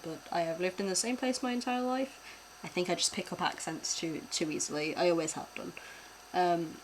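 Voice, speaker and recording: A woman's voice: fairly low pitch, slight background noise, rough recording. A young British woman chatting casually while reacting to videos on a stream, laughing often and swearing offhand. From Britain.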